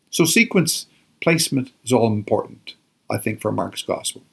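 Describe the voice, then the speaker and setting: Older male lecturer, gravelly voice, reflective and self-correcting. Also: medium pitch. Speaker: biblical studies scholar. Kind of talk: informal lecture.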